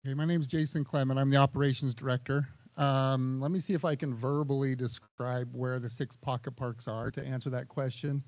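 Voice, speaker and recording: A man serving as an HOA operations director at a town hall meeting, losing his voice and clearing his throat, methodically giving spoken directions and correcting himself. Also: medium pitch, fairly even intonation, a little fast, hardly any pauses, darkish tone.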